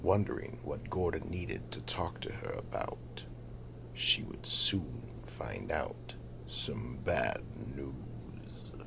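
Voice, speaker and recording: Very deep, gravelly, slow male narrator. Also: sing-song, in a whisper, poor audio. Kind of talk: horror radio play narration.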